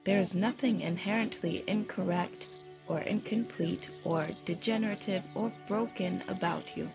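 Serene, probing female stranger for fiction podcast, played by an actress. Gravelly edge, solemn formal delivery, music underneath. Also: mid-range voice, natural voice. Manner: measured, formal, solemn, answers with questions. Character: serene, probing, all-knowing female stranger, kind but unyielding. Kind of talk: audio fiction drama acting.

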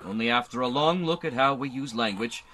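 A man's voice: medium pitch, a little fast, bright, forceful tone, a little hiss or hum, close to an everyday voice, rough recording. A man playing an earnest, dry human space adventurer from Earth in a radio serial. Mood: dry, baffled. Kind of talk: old-time radio serial acting.

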